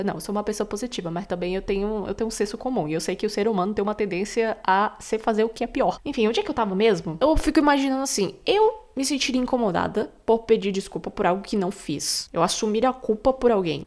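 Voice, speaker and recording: A young Brazilian woman speaks in a Brazilian Portuguese accent, chatting straight to her viewers with jokes and frequent laughs. She talks fast, her voice at a middle pitch for a woman. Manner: chatty, joking asides, laughs often. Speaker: vlogger. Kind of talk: casual monologue to camera.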